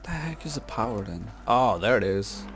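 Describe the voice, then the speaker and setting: A man's voice: on the low side, expressive, moderate pace, fairly bright tone. A young man giving casual gameplay commentary in slangy language, muttering to himself as he plays. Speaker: gamer, video creator. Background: video game music and sounds.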